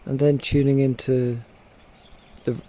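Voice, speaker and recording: A man leading a guided body scan meditation, giving gentle, unhurried instructions with frequent pauses. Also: medium pitch, level tone. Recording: faint outdoor nature sounds behind. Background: birds and nature sounds.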